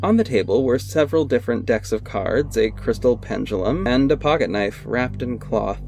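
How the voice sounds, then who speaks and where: A man's voice: mid-range voice, expressive, moderate pace, gravelly, a little mellow, soft background music. Sardonic young PI and narrator, a man's own voice, plain dry delivery. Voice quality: dry, sarcastic. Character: sardonic young male private investigator and vigilante. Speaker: first-person narrator. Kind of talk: scripted audio drama narration.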